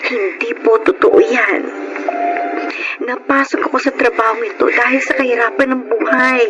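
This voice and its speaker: An actress playing a weary, long-suffering hostess in a Filipino radio drama, plaintive and emotional, with a Filipino accent. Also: female voice, on the high side, fairly even intonation, normal speed, loud music behind the voice, close to an everyday voice. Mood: tender, earnest. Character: weary, poor young hostess, long-suffering yet defiant.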